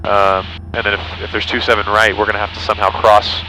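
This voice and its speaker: A man's voice: fairly low pitch, level tone, fast, bright, forceful tone. A man who is a pilot, thinking aloud in casual, technical cockpit chat over a headset. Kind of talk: casual cockpit chat, working through the approach. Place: small aircraft cockpit in flight. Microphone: aviation headset. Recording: noisy small-plane cockpit intercom.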